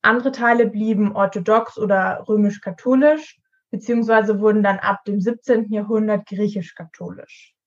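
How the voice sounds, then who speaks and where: A woman's voice: medium pitch, steady tone, normal speed. A woman in her early twenties co-hosting a student podcast with prepared, explanatory talk. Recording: dull lo-fi podcast audio.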